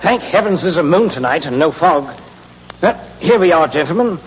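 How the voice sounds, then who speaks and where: An actor playing a nervous, genteel British banker, hesitant and stammering, in the manner of 1940s radio drama. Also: male voice, fairly high pitch, animated, moderate pace, close to an everyday voice. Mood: explanatory, formal. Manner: hesitant, fussy, polite, stammering. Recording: muffled, hissy, reverberant 1940s radio recording.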